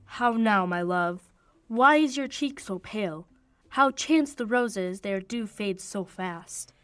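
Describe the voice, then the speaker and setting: A woman's voice: medium pitch, lively intonation, slow, a bit bright, smooth, dry recording. A young woman playing an ardent young nobleman in love in a radio play of Shakespeare, speaking poetic verse. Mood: tender, lamenting. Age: young adult. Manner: declamatory, poetic verse delivery.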